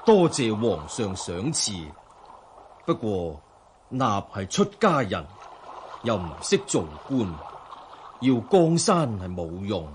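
An actor playing a humble, dignified Buddhist monk in a radio drama, speaking in a measured, formal and modest way. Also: male voice, lowish voice, big pitch swings, a little hiss or hum. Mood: humbly declining. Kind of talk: Cantonese radio drama acting.

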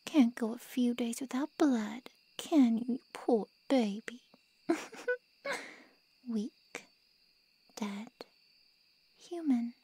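A woman playing a haughty, callous ancient vampire in an ASMR roleplay drama, with mocking sarcasm and a breathy drawl. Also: a little high, expressive, extremely slow, pauses often, mellow, full character voice, rough recording. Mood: taunting.

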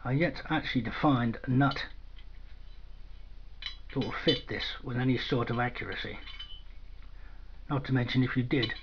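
A man's voice: mid-range voice, moderate pace, pauses often. A man gives an informal hands-on demonstration in a matter-of-fact, dry, understated way, recorded indoors at a workbench on a camera microphone. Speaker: product demonstrator. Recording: dull lo-fi sound with clear noise.

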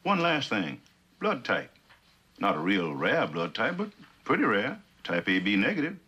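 Earnest police officer, husky, bright forceful edge, animated pitch, clipped methodical delivery, old TV drama, muffled soundtrack. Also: male voice, normal speed, heavily acted. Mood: businesslike. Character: earnest police subordinate reporting case findings. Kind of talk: 1960s television drama acting.

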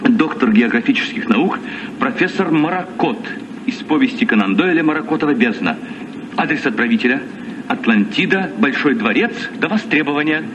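An actor playing a gallant, earnest sea captain in a radio play, speaking in measured declarations. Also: male voice, medium pitch, animated, lightly acted. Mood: reading solemnly. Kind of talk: Soviet radio play acting. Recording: muffled, distant, noisy old radio recording.

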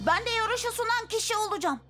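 A woman voicing an eager, playful young vehicle child in a children's cartoon, with excited make-believe flair. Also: extremely high pitch, brisk, music in the background. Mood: playful announcing. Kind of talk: children's cartoon dub acting.

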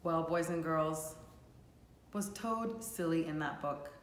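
A woman's voice: low-pitched, takes it slowly, a little hiss or hum. A woman reading a children's storybook aloud like a teacher, expressively and speaking directly to young listeners. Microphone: camera or phone a few feet away. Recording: echoey room.

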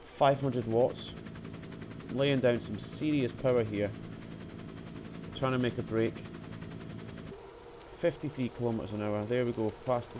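Race commentator, male, voice hit by a cold, live call over loud background noise, steady middle pitch. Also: unhurried, pauses often. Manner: reads out power and speed figures. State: has a cold.

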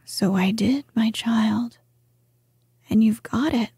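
Woman whispering as a gentle old grandmother. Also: on the high side, slow pace, slightly rough, dry recording, full character voice. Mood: calm, tender. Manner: soft whisper. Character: gentle wise old grandmother, queenly and calm. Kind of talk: whispered storybook reading.

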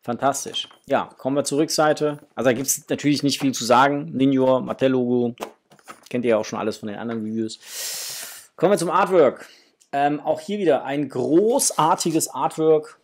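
A man in his forties with a medium-pitched voice talks casually as a review host. His delivery is informal and enthusiastic. He is recorded indoors in a small home room, at about arm's length from the camera. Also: moderate pace. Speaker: toy review channel host. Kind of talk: casual unboxing and review chat.

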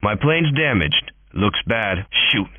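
An actor voicing a cocky, easygoing fighter pilot in a video game, with punchy, clipped calls through a radio filter. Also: male voice, on the low side, animated, takes it slowly, no room sound. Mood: laid-back, cocky. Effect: cockpit radio filter. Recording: tinny, phone-like radio channel.

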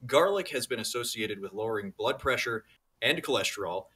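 A man reads aloud on a podcast in a forceful, rough-edged voice. His delivery is mock-serious, with casual asides. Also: medium pitch, lively intonation, brisk. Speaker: podcast reader.

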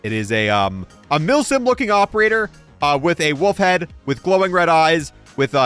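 A man's voice: a little high, a bit bright, clear, resonant tone. A man giving casual, matter-of-fact video game review commentary. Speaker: gaming video creator. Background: music.

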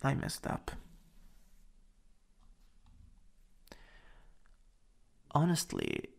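A man playing a shy, lovestruck coworker in an intimate boyfriend ASMR roleplay, speaking softly and hesitantly, at times nearly whispering. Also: medium pitch, wildly swooping pitch, exaggerated voice. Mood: apologetic. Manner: hesitant, halting, breathy drops into near-whisper. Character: shy lovestruck male coworker confessing to his crush.

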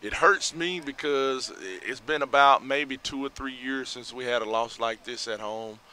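A middle-aged man, a head football coach giving a measured, reflective postgame interview outdoors at night on a headset mic. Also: medium pitch, sharp tone. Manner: measured, reflective, many fillers. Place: outdoors by a school building at night.

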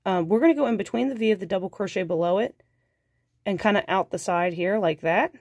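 A woman's voice: mid-range voice, a little fast, no room sound, studio quality. Nasal woman with a cold teaching crochet. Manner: calm, patient, counts stitches aloud. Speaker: crochet instructor. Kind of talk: step-by-step craft tutorial.

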